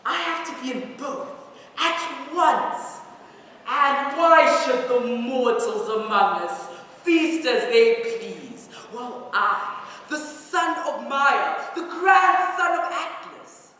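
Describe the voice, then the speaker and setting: A woman's voice: sits high, animated, slow pace, bright, forceful tone, exaggerated voice. A woman playing a proud, resentful young god in an indignant, declamatory stage monologue. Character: proud, resentful young god complaining about serving mortals. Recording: very echoey hall, distant mic, faint noise.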